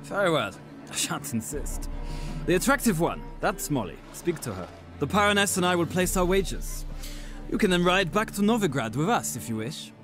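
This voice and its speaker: A man's voice: sits high, sing-song, normal speed, music in the background. A man playing a courteous, haughty aristocratic general in a video game, formal and mannered. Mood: playfully challenging.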